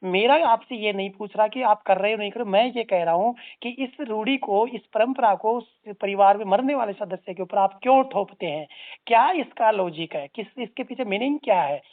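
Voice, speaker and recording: Tinny phone recording, stern lecturing activist, formal and articulate, mid-pitched voice. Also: a little fast, few pauses. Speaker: anti-drug social organisation activist. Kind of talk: formal admonishing phone call. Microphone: phone line.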